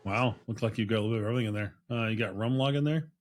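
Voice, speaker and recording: A man's voice: on the low side, racing. A man hosting a podcast, chatting casually with brief responses to his guest. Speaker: podcast host.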